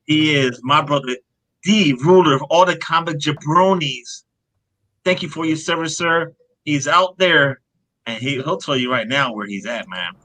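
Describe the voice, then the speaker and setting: Guy hosting a comic livestream, kinda high bright voice, super laid back, says man a lot. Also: moderate pace, rough recording. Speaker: host of a comic book live stream. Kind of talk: casual live stream panel chat.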